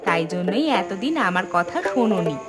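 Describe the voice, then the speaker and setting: A woman's voice: fairly low pitch, expressive, normal speed, natural voice. Woman voicing a shrewd village wife. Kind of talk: Bengali cartoon voice acting. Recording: background music.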